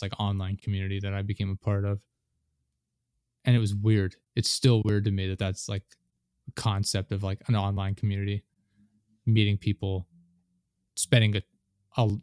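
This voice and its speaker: A man's voice: fairly low pitch, a little mellow, clear, resonant tone, no echo, clean studio sound. A man in his late twenties hosting a casual podcast chat, rambling with many fillers and restarts. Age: 20s. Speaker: podcast host.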